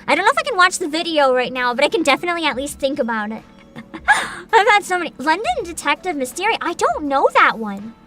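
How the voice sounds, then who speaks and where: Young streamer girl, bright high voice, super bouncy and giggly, casual sweary chat, faint music behind. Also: fast. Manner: excitable, giggly, informal and sweary. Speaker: livestream host, vtuber. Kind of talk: casual livestream chat and reactions. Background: background music.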